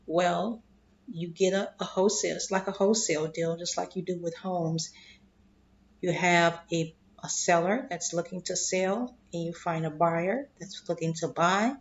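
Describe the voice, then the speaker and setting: A woman explaining investing in a slow, deliberate way, clearing her throat and losing her voice, often checking in with "okay?". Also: fairly low pitch, fairly even intonation, highs cut off, a little hiss or hum. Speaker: investing vlogger. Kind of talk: casual explanatory monologue.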